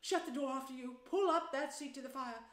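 A woman voicing a gentle male mole from a children's story, earnest, eager and emotional. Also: sits high, a little fast, reverberant, voice pushed up, close to an everyday voice. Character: gentle male mole, proud, eager, easily distressed host. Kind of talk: children's storybook character reading.

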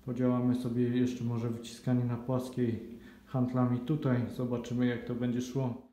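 A man's voice: medium pitch, completely flat delivery, moderate pace, dark tone. A young man chatting casually as he trains in a small indoor gym, explaining his sets to a phone camera. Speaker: vlogger. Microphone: phone camera on a stand. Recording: echoey gym room.

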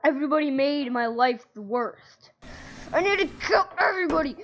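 Someone doing a vengeful, high-voiced evil bear. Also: animated, normal speed, fairly bright tone, a little hiss or hum. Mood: menacing. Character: murderous evil animatronic bear, vengeful and menacing. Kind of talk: improvised fan role-play of a horror game.

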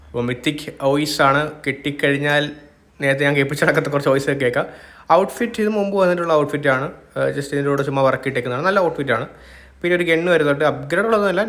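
A man's voice: on the high side, racing, a bit bright. A man with a Malayali accent giving casual voice-over commentary as host of a gaming video.

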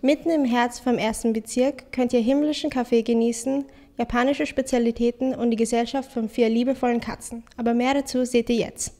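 Mellow young woman presenter. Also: a little high, steady tone, brisk, hardly any pauses. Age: young adult. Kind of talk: scripted introduction. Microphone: handheld microphone.

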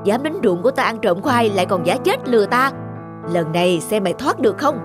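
A woman voicing a scheming, grumbling male farmer in an animated folk tale, given to exclamations. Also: mid-range voice, brisk, over music, close to an everyday voice. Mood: gloating, menacing.

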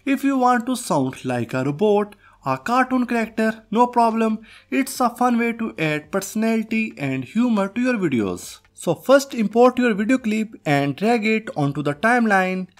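A man presenting a software tutorial as host, reading a scripted narration. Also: high voice, big pitch swings, normal speed, few pauses. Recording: music behind the voice. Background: background music.

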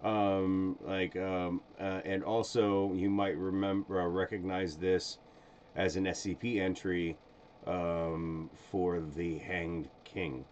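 A middle-aged man gives casual live commentary in a deep voice. He speaks slowly and hesitantly, feeling his way through phrases. There is faint noise in the recording. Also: little pitch movement, darkish tone. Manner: hesitant, halting. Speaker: streamer host. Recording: dull sound, faint background noise.

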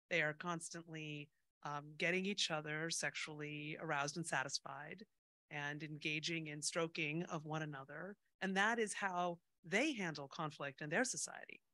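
Woman, middle-aged, bright forceful tone, informal, self-deprecating, animated conference speaker. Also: deep voice, dry recording. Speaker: speaker on stage. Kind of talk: casual unscripted conference talk. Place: indoor conference stage. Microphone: handheld microphone.

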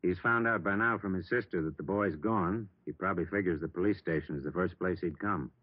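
An actor playing a middle-aged, steady police captain with an American accent in a 1950s radio drama, measured and authoritative. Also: male voice, low-pitched, husky. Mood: businesslike. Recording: dry, narrow-band vintage radio recording.

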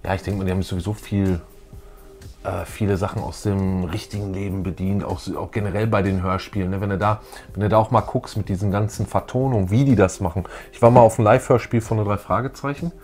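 A man's voice: lowish voice, steady tone, fast talker, darkish tone, soft background music. A middle-aged man, chatting casually as a co-host in a fan show. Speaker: YouTube co-host. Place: small room full of collectibles, indoors.

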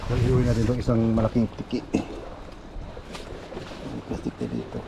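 A man's voice: mid-range voice, sing-song, normal speed. A man with a Filipino accent chatting casually as he vlogs a fishing outing outdoors by the sea, recorded on a body-worn action camera. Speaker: fishing vlogger. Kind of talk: casual vlog chat while fishing. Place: outdoors on a seaside concrete pier. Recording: loud wind and outdoor noise. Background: wind, water, outdoor noise.

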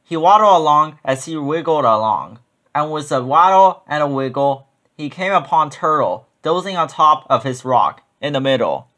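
A man narrating a children's picture book in an expressive read-aloud style. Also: a little high, moderate pace.

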